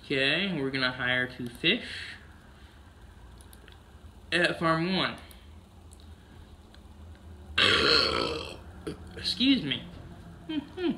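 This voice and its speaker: A man's voice: on the high side, big pitch swings, very slow, pauses often, sharp tone, slight background noise. A man casually narrating a farming video game, humming "mm-hmm" between steps, recorded on a camera across a room. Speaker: gameplay narrator.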